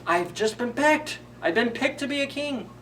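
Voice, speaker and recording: A man's voice: very high voice, lively intonation, normal speed, fairly bright tone, recorded from a distance, a little hiss or hum, pitched above the performer's own voice. A man voicing a small, naive young fir tree in a storybook, exclaiming and self-important. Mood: excited.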